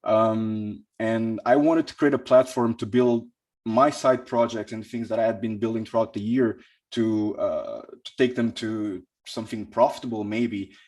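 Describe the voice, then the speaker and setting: A man's voice: lowish voice, a little mellow. Hesitant young man from Portugal. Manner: hesitant, many fillers and restarts. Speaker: conference speaker. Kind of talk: conference talk.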